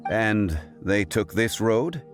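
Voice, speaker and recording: An actor playing a calm, dignified, formal man in a video game, speaking in a measured way. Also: fairly low pitch, soft background music. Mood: composed. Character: calm, dignified, deliberate adult male consultant.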